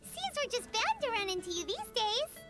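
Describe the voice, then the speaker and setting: A woman voicing a tiny, excitable childlike fairy companion in a video game, squeaky and exclamatory. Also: normal speed, soft background music, exaggerated voice. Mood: chatty, puzzled. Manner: speaks of herself in third person, exclamatory.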